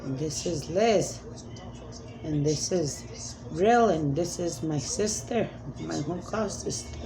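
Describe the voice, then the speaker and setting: A woman's voice: sits low, slow, crowd noise, rough recording. A woman speaking as a self-described spirit medium in a rambling, emphatic monologue, pleading and repeating commands.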